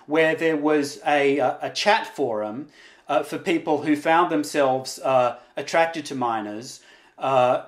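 A man in his forties hosting a conversational interview, speaking deliberately with frequent hesitations. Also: a little high. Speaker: interviewer, host. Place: indoors, on video call. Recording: roomy, echoing video call.

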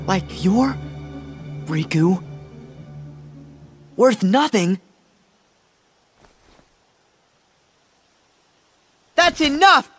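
A man's voice: high-pitched, sing-song, very slow, a bit bright, husky, soft background music, heavily acted. An actor playing an earnest, hot-headed, loyal teenage boy hero in a video game cutscene, speaking emphatically and defiantly. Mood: angry, determined.

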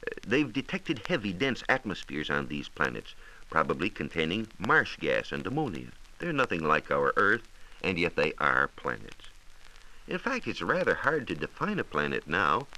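An American man explaining science in a measured, formal way, as a reporter on a 1940s radio program. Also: low voice, huge pitch swings, bright, forceful tone, a little hiss or hum, low-quality recording. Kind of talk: 1940s radio science talk, part scripted answers. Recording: 1940s radio transcription disc.

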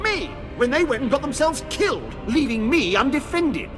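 An actor playing a pompous, cowardly, whining Imperial official in a video game, haughty and fussy. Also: male voice, extremely high pitch, very animated, normal speed. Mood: petulant. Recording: long hall reverb, music behind.

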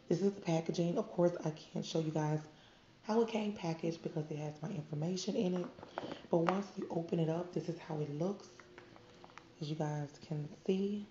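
A woman's voice: lowish voice, warm tone, a little hiss or hum. A woman with a stuffy nose from a cold, chatting to viewers as she unboxes products. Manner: chatty, addresses viewers as "you guys". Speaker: beauty vlogger. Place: indoor room. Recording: muffled, echoey room.

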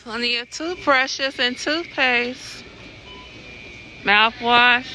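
A woman's voice: on the high side, extremely slow. A woman vlogging on her phone as she walks through a big warehouse store, giving a chatty running commentary and repeating items as she spots them. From California, USA. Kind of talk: casual shopping walkthrough vlog. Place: in a car, then inside a large warehouse store. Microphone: phone camera held at arm's length. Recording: phone audio with loud store background noise.

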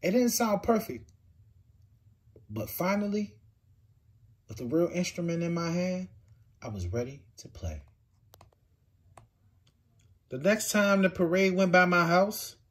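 A man reading a picture book aloud with expressive, swinging delivery. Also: unhurried, long pauses, husky. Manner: expressive storytelling with big swings in pitch and loudness.

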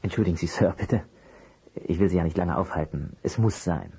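A man playing a polite, persistent private detective in an audio drama, with a husky voice and a courteous, probing way of asking. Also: low-pitched, a little fast, dark tone, full character voice. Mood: polite, placating. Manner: courteous, probing, hesitant at times. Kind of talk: German audio drama acting.

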